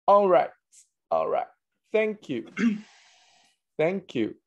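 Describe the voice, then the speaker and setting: A man hosting an online teachers' meeting, calling on each teacher in turn and filling pauses with ums. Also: sits high, very animated, highs cut off. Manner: slow, hesitant with many fillers. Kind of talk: hosting a video call.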